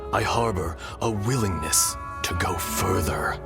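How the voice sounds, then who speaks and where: A man voicing the calm, weary, benevolent spirit of an ancient dragon in a video game, speaking in a solemn, measured, poetic way. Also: sits low, gravelly. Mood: solemn, firm. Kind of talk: dramatic video game voice acting. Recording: loud game music under the voice.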